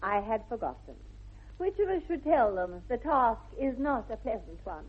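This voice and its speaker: High-voiced English society belle. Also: female voice, sing-song, normal speed, breathy edge. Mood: poised, romantic. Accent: upper-class English. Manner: affected, precise, elegant. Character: poised, self-assured young society lady. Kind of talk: 1960s radio comedy acting. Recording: tinny, band-limited vintage radio recording.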